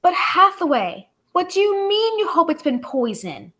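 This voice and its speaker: A woman's voice: very high voice, sing-song, normal speed, a bit bright, heavily acted. A woman playing an inquisitive, flustered female doctor in a 1940s radio drama, exclamatory and full of questions. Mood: surprised, puzzled. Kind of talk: 1940s old-time radio acting. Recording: clearly noisy recording with clicks.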